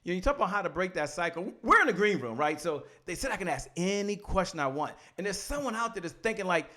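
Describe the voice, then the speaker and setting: A man's voice: sits high, fast talker. A middle-aged man hosting a casual interview show, talking animatedly into a studio mic in a home studio. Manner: animated, gestures with hands while talking. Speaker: show host. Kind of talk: casual green-room interview. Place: home studio with poster behind. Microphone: studio mic on boom arm.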